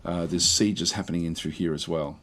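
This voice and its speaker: A man with a croaky voice casually talking through a strategy game, thinking aloud with many fillers and restarts. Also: low voice, fairly even intonation, normal speed, a bit bright, slight background noise. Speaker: gameplay commentator.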